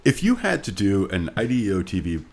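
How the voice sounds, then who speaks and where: A man co-hosting a podcast, talking casually about books with joking, deadpan asides. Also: sits low, brisk, slightly rough. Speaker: podcast host.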